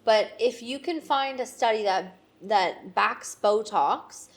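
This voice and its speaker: A woman's voice: on the high side, takes it slowly. Playful self-mocking woman co-host. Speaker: podcast co-host. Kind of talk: casual chat.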